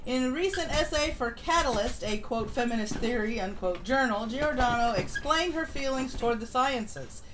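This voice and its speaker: A woman with a high voice reads aloud on a casual live stream and adds mocking commentary. Her manner is sardonic and conversational, and there is faint noise in the recording. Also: lively intonation, normal speed, few pauses. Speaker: live-stream co-host reading an article and chat comments. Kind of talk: casual political commentary, reading aloud and reacting.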